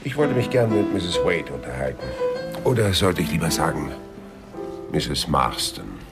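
An actor playing a cool, persistent private detective in a German radio crime drama, narrating and questioning in a dry, laconic, husky voice. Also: male voice, very deep voice, sing-song, measured pace, loud music behind the voice, full character voice. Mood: confronting. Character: hard-boiled private detective narrating his own story, cool and persistent.

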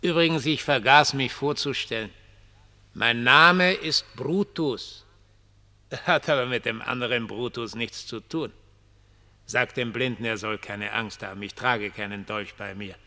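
An actor in a German radio play as a ragged homeless dreamer, mocking, prophetic and pitying, with theatrical, declamatory delivery. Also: male voice, mid-range voice, unhurried, sharp tone. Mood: mocking, sly. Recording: long reverberant hall.

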